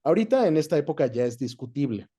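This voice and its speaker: Very dark, mellow-voiced Mexican man explaining. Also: a little high, sing-song, fast. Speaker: interview guest, voice actor and dubbing director. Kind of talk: casual explanatory conversation.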